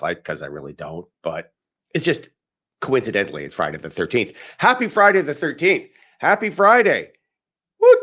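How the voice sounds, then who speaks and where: Raspy-voiced upbeat male podcast host. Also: mid-range voice, fairly bright tone. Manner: chatty, upbeat, greeting viewers. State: hoarse, tired voice. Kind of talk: live podcast chat.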